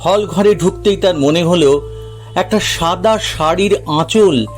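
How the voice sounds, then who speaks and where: A man narrating a scripted dramatic story in a measured, literary storytelling manner. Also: high voice, soft background music.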